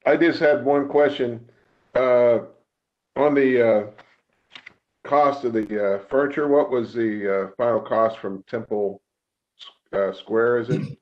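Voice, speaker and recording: A man on a board asking a question on a video call, hesitant with many pauses and apologies. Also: mid-range voice. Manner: hesitant, full of uhs and apologies. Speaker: board member. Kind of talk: casual question at a meeting. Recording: dull lo-fi video call audio.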